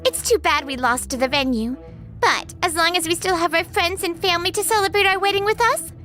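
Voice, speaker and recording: A woman's voice: very high voice, a little fast, sharp tone, faint music behind. A woman playing a self-centred, breezy young fiancée in a manga dub. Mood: brushing it off.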